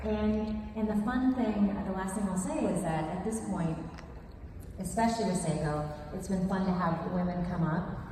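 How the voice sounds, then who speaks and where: A woman's voice: mid-range voice, normal speed, dark tone. A middle-aged woman voice actor chatting enthusiastically on a convention panel, laughing often. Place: large convention hall. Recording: echoey hall from far off, noisy.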